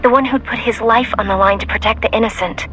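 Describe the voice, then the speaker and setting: An actress playing a young, loyal, idealistic mission support operator in a video game, hesitant yet heartfelt. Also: female voice, a little high, steady tone, quick pace, fairly bright tone, faint music behind, natural voice. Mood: reassuring.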